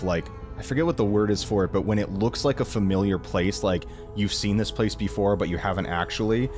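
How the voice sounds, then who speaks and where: A young man with a fairly low voice talks casually to his viewers as a reaction video creator. He rambles informally and enthusiastically, with a self-deprecating streak, over clearly present background music. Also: darkish tone. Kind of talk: casual rambling review to camera.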